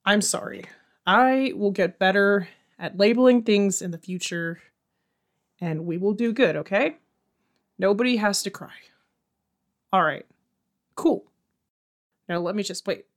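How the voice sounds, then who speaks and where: A woman's voice: medium pitch, unhurried, pauses often, close to an everyday voice. A woman playing a flustered, placating night radio host in an audio comedy, chatty and rambling, often interrupting herself. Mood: apologetic, soothing. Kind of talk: audio comedy drama in radio show format.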